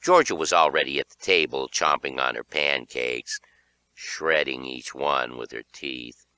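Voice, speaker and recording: A man's voice: very deep voice, measured pace, bright, forceful tone, clean tone, dry recording. A man narrating children's horror fiction in a theatrical, suspenseful audiobook style.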